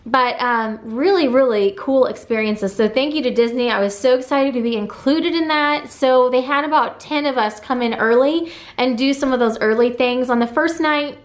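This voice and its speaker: A woman's voice: sits high, moderate pace. A woman in her thirties chatting enthusiastically in a casual vlog recap, full of fillers and asides. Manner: bubbly and enthusiastic, many fillers. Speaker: family travel vlogger.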